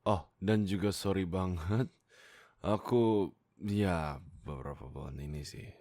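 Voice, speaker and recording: A young man chatting casually to his viewers in a deep, gravelly voice, informal and self-deprecating. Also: unhurried, darkish tone. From Indonesia. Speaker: YouTube roleplay voice creator.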